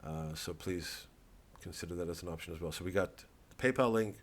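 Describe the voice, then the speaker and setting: A man's voice: sits low, brisk. A man, a comedian, talking casually to camera in a rambling way, with stammering restarts and free swearing.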